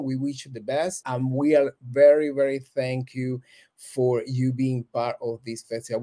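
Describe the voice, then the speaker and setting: Mellow-voiced effusive male interview host. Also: mid-range voice. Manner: effusive, repeats praise, rambling questions. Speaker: interview host, festival representative. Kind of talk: casual interview.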